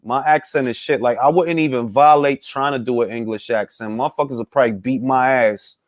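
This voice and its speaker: A man's voice: medium pitch, steady tone, a little fast, no echo, clean studio sound. A young man hosting a casual boxing talk stream, bantering with loose, profane energy. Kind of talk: casual live-stream chat with viewers.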